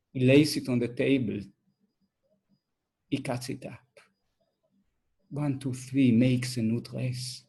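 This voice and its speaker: A man's voice: fairly high pitch, a little mellow. A man performing a stage monologue as an agitated, suspicious working-class uncle from the docks, insistent and pleading in a colloquial way. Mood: pleading, uneasy.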